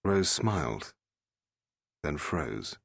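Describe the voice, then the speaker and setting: A man's voice: rumbling low, big pitch swings, extremely slow, gravelly, husky, no room sound, clean studio sound. A man reading an audiobook in a dramatic, suspenseful storytelling style. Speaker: audiobook narrator. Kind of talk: dramatic scripted narration.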